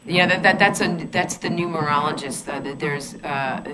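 A woman with a low, strong voice hosts a casual radio talk show. She chats eagerly with her guest, full of ums, restarts and gushing praise, and she talks loud enough that she barely needs a mic. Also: moderate pace, noisy background. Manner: chatty, enthusiastic, many fillers and restarts. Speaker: radio show host and numerologist. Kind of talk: casual radio interview chat.

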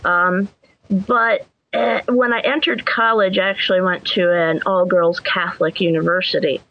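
A woman's voice: on the low side, fairly even intonation, moderate pace, dry recording, dull audio. A woman bishop telling her story as a guest, earnest and self-deprecating. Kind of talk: casual interview storytelling.

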